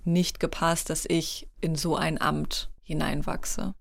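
A woman's voice: sits low, little pitch movement, takes it slowly, vocal fry, no echo, studio quality. A young woman, a rabbinical student, answering interview questions in an earnest, articulate way. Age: young adult. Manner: earnest, articulate, emphatic at times.

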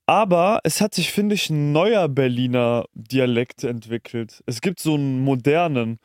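A man's voice: medium pitch, a little slow, dry recording, clean studio sound. A young man chatting casually as a podcast co-host, in colloquial, slangy youth speech. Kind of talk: casual chat.

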